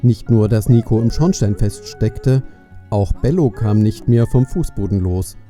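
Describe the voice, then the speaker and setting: A man's voice: on the low side, measured pace, mellow, soft background music. A man narrating a scripted children's story as the storyteller of an audio play.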